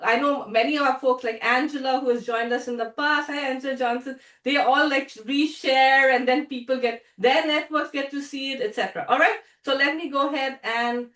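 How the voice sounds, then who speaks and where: Chatty lady host on a video call, kinda high voice, echoey room, sits back from the mic. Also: steady tone, normal speed, few pauses, a bit bright, far from the mic. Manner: chatty, laughs often, restarts sentences. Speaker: host and founder. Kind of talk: casual video call hosting.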